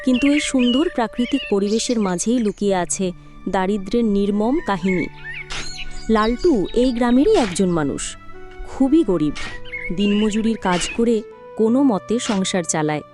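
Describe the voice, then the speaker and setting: A woman's voice: mid-range voice, expressive, normal speed, over music. Woman narrating a cartoon story.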